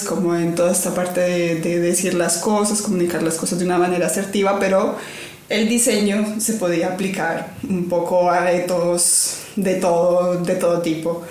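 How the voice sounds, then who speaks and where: A young woman speaks in a slightly low voice. She is reflective and easygoing, often pausing to find her words. The sound carries a noticeable room echo. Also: darkish tone. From Colombia. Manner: thoughtful, many fillers and restarts, laughs easily. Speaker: guest, designer. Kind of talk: conversational interview.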